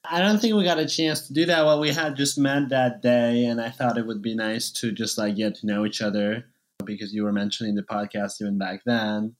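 Relaxed, hesitant male interview guest. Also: mid-range voice, fairly quick. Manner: relaxed, hesitant, many fillers. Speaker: guest, cinematographer. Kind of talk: casual interview.

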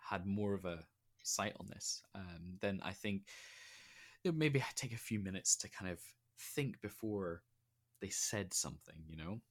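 A male podcast host with a fairly low voice talks with his guest in a reflective, conversational way. He thinks aloud as he speaks, working through his ideas before he asks his next question. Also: expressive, unhurried. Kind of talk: conversational interview.

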